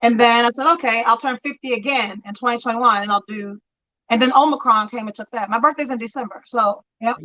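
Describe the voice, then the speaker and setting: A woman's voice: fairly high pitch, fairly quick, bright, forceful tone, highs cut off. A woman in her fifties co-hosting a casual chat show, telling stories with frequent laughter, calling in from a friend's house. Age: 50s. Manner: chatty storyteller, laughs often. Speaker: talk show co-host.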